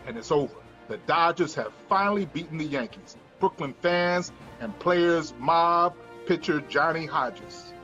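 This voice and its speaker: Male narrator, mid pitch, reads stage directions, dull recording with faint music. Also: a bit bright. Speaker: screenplay narrator. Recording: dull lo-fi sound, music behind.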